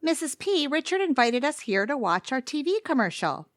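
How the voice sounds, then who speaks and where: A woman playing an earnest, flattering, slightly pretentious young woman in a comedic audio play. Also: high-pitched, normal speed, dry recording, clean studio sound.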